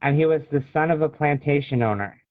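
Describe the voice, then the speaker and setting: A man's voice: fairly high pitch, fast, no echo. An American man being interviewed as an author, talking casually and explaining at length like a storyteller. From United States. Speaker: guest author. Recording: thin phone-like call audio.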